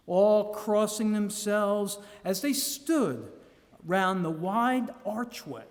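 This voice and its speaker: High-pitched theatrical man reading in a hall. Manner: theatrical, measured delivery with dramatic pauses. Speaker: reader. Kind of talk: dramatic live reading of a gothic novel journal. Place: live public reading in a reverberant room. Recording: echoey hall with long reverb.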